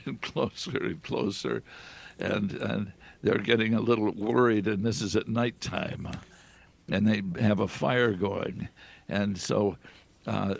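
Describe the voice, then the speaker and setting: A man in his nineties, a veteran radio performer, casually reminiscing in a halting, rambling way with repeated words. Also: on the low side, gravelly. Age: 90s.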